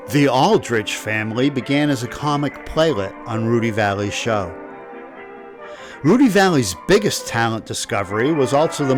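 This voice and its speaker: A man reading scripted documentary narration in a wry, jokey manner. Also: medium pitch. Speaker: narrator of old-time radio history.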